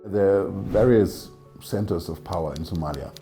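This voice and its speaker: Male expert, gravelly and dark-toned, matter-of-fact interview explanation. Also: sits low, moderate pace, faint music behind.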